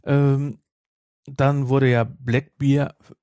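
Guy podcasting, average pitch, halting casual chat, close dry sound. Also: flat delivery, mellow, clean tone, dry recording. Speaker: podcast host. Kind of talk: casual chat, retelling a story.

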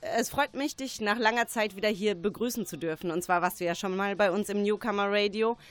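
A woman's voice: mid-range voice, fairly quick. A woman hosting a radio interview, addressing her guest and the listeners in an informal way.

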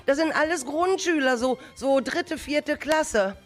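A woman's voice: high voice, faint music behind. A woman playing a flustered, worried schoolteacher. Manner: agitated, halting, talking to herself and repeating words. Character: flustered, worried female primary school teacher missing her pupils. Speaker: teacher character. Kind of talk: amateur radio play acting.

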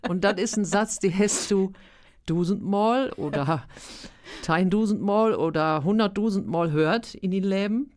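A woman with an Emsland Low German accent, chatting as a guest in a radio studio interview, laughing easily and filling pauses. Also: a little mellow. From Emsland, near Papenburg. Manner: chatty, laughs often, many fillers. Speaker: guest, amateur stage actress and singer.

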